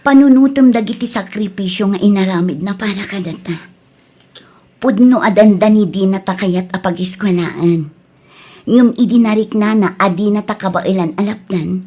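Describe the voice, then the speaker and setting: A woman's voice: medium pitch, moderate pace, warm tone, close to an everyday voice. A woman acting an earnest younger sister who coaxes and reasons, in an Ilocano radio drama. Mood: earnest coaxing.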